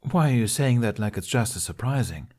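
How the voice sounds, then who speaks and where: A man's voice: mid-range voice, animated, brisk, a little mellow, lightly acted. Guy doing a cheeky mischievous bard boy, nonchalant, story narration character.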